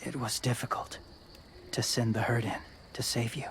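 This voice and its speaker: An actor playing a quiet, guarded young male wanderer who speaks in terse, subdued fragments in a video game drama. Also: medium pitch, little pitch movement, normal speed, in a whisper, lightly acted. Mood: weary, subdued.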